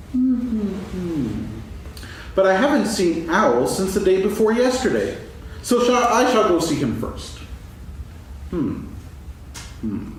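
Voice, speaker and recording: A man playing a gentle, absent-minded, food-loving toy bear in a staged children's story reading, musing aloud in a singsong way. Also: sits high, full character voice. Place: stage, actors seated, live audience recording. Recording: echoey hall from far off, faint noise.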